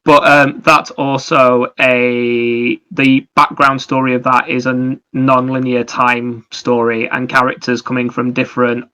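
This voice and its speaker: A man with a bright, forward voice talks casually as a podcast co-host. His pitch stays fairly flat while he explains things in a rambling, enthusiastic flow. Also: measured pace, few pauses, smooth, low-quality recording. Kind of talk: casual chat about anime and games.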